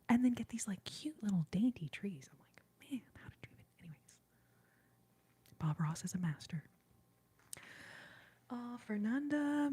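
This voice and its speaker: A young woman whispering softly in a chatty, rambling ASMR livestream, reading out viewer comments and giggling now and then, close to the mic. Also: medium pitch, husky. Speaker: ASMR streamer.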